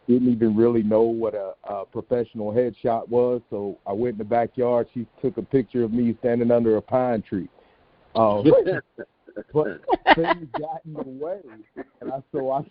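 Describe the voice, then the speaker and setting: A man's voice: mid-range voice, no room sound. A middle-aged man, a stage actor, giving a candid, reflective interview answer as a guest. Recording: tinny phone-like online call.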